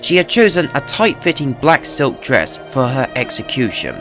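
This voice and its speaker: A man narrating a true tale as scripted, dramatic storytelling in a measured, grave manner. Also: mid-range voice, big pitch swings, over music.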